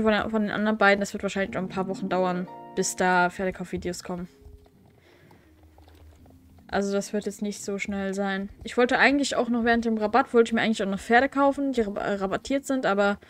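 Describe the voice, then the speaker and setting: A young woman with a cold, chatting casually with wry asides and fillers as she plays through a game. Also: medium pitch. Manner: chatty, wry, with frequent fillers and self-corrections. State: has a cold. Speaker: let's play host. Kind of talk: casual gameplay commentary, reading quest text aloud. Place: at home.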